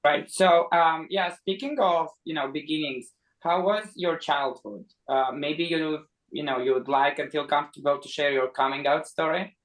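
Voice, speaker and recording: A man with a fairly high voice co-hosts an interview show. He chats casually and a little haltingly, feeling for his words as he puts his questions. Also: fairly bright tone, low-quality recording. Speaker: podcast co-host, interviewer.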